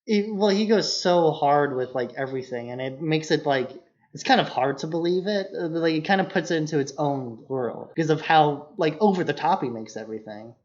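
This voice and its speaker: Male podcast co-host, slightly high voice, informal and loose film chat, somewhat muffled audio. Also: brisk. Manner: informal, peppers talk with "like". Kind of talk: casual film discussion.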